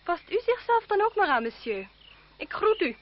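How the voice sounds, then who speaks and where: A woman's voice: very high voice, sing-song, moderate pace. An actress playing a proud, spirited young noblewoman in a Dutch radio play, with a haughty, theatrical manner. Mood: indignant. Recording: muffled, noisy old radio play recording.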